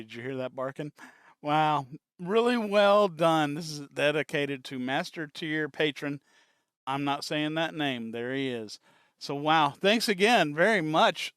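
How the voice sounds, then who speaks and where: A man's voice: a little high, fairly bright tone, clean tone, no echo, studio quality. A man hosting a casual fan reaction video, talking with enthusiasm and often repeating himself. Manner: enthusiastic, repetitive.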